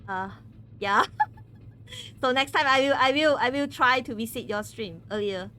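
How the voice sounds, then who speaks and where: A woman's voice: sits high, normal speed, pushed and bright. A woman from Malaysia, chatting casually with viewers on a game stream. She giggles often and stumbles over words.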